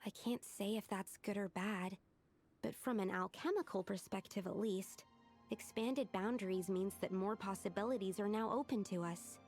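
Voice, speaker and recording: A woman's voice: mid-range voice, normal speed, darkish tone, soft background music, natural voice. A woman voicing a studious, earnest young female alchemist who explains things precisely in a video game. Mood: thoughtful.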